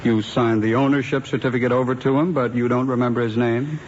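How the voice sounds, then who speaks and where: An actor playing a firm, skeptical police lieutenant with a dry, probing manner in an old radio drama style. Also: male voice, mid-range voice, fairly even intonation, fast, darkish tone, lightly acted. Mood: accusing. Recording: dull, noisy old radio recording.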